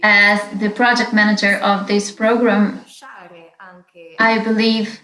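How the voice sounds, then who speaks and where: A woman interpreting into English for a webinar, speaking in a steady, measured way with small fillers. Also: medium pitch, roomy sound, low-quality recording. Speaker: interpreter and moderator.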